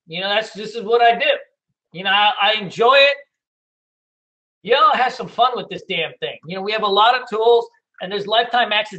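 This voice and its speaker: Man, middle-aged, bright forceful voice, loud, blunt, profane, casual trading teacher. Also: very high-pitched. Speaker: trading educator. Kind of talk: casual screen-share teaching.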